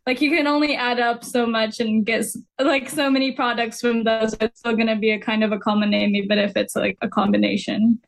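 A woman's voice: a little high, flat delivery, brisk, creaky, hardly any pauses. A young woman from Kenya, a student guest, talking casually and rambling as she thinks aloud, with lots of "like". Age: young adult. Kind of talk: casual conversation.

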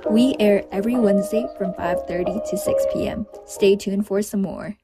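A woman reading a scripted radio station identification in an even manner. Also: mid-range voice, normal speed. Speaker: station announcer. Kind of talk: station identification over music. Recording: loud music bed under voice. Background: loud music.

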